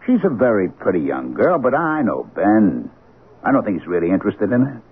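An actor playing a reassuring American police officer and family friend, conversational and matter-of-fact, in old-time radio drama. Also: male voice, on the low side, very animated, fairly quick, husky, full character voice. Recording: lo-fi radio recording, music behind.

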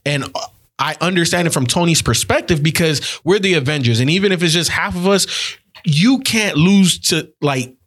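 Emphatic, fairly high-voiced man. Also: normal speed, a bit bright. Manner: emphatic, stammers repeated words. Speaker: film review podcast panelist. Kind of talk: casual slangy debate.

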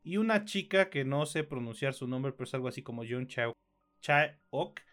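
A man's voice: medium pitch, animated, moderate pace, a bit bright. A Mexican man co-hosting a podcast, reviewing films and series in a blunt, casual, slangy way.

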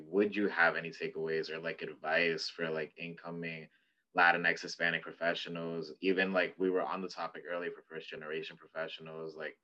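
A man's voice: deep voice, bright, forceful tone. A man hosting a podcast, wrapping up with loose, filler-heavy questions to his guests. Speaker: podcast host. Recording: dull muffled audio.

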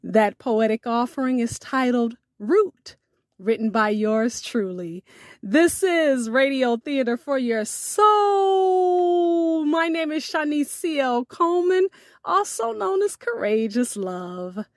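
A woman hosting a storytelling radio show, speaking in a measured, poetic way. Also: sits high, expressive, dry recording, clean studio sound.

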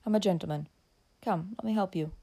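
A woman voicing a calm, protective young gentleman in an audiobook, speaking in a steady, matter-of-fact way. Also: lowish voice, brisk, breathy edge. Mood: calm, reassuring.

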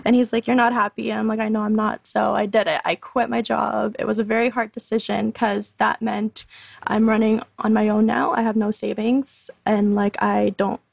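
A woman in her twenties, a podcast guest telling her story in a chatty, animated way, full of fillers and laughs. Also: mid-range voice, normal speed, vocal fry, dry recording. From Canada. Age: 20s. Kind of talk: casual interview storytelling.

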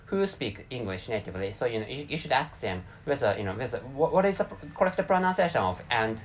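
A man's voice: mid-range voice, animated, quick pace, slight background noise. A man with a Japanese accent, a Japanese native speaker, hosting a solo talk show in English with many restarts and repeated words. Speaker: podcast host.

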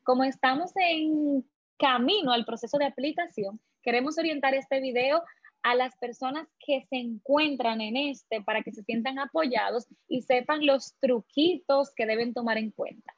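Woman host doing the intro, real high voice, friendly, dull dry recording. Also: normal speed, dry recording. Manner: warm, upbeat greeting, formal address. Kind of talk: show introduction and interview questions.

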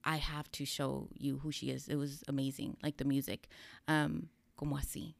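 A woman's voice: a bass voice, level tone, moderate pace, gravelly, no echo. A woman co-hosting a casual chat, asking questions and switching freely between English and Spanish. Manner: warm back-channeling, code-switches into Spanish. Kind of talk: casual conversational podcast chat.

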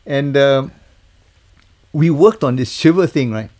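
A man's voice: medium pitch, animated, a little slow, darkish tone. An older man, chatting casually as an interview guest and telling stories about his working life. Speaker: guest, TV music composer and mixer.

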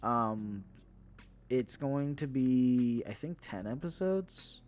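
A man's voice: medium pitch, animated, slow pace, darkish tone, soft background music. A man co-hosting a podcast in casual chat, emphatic and prone to repeating himself. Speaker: podcast co-host. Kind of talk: casual nerd-news chat.

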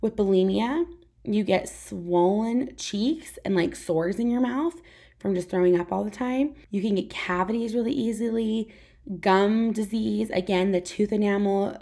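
A woman's voice: mid-range voice, a little slow, creaky, dark tone. Scratchy-voiced young woman, frank. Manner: frank, conversational, many "like" fillers. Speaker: vlogger. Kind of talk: candid personal talk to camera.

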